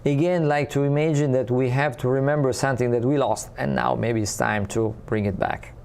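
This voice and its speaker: A man from Italy with an Italian accent, a guest in an interview, speaking earnestly and often pausing to find his words. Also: mid-range voice, steady tone, vocal fry, a little mellow, clear, resonant tone. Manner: earnest, searching for words with many pauses. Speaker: guest, spiritual community ambassador.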